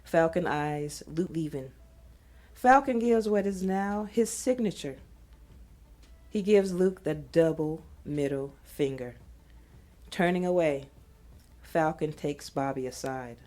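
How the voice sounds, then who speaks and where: A woman's voice: sits low, slow, pauses often, dark tone. A woman host reading scripted screenplay narration for an audio drama.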